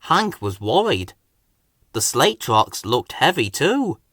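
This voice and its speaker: A man narrates a children's storybook from a script. His pitch moves around a great deal, the pace is slow, and the sound is dry and close. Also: big pitch swings, fairly bright tone, dry recording. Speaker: storybook reader.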